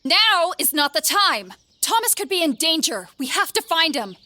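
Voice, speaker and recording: A woman's voice: extremely high pitch, big pitch swings, normal speed, bright, forceful tone, exaggerated voice. A woman playing a commanding, concerned lady knight in a fantasy audio drama, firm and urgent.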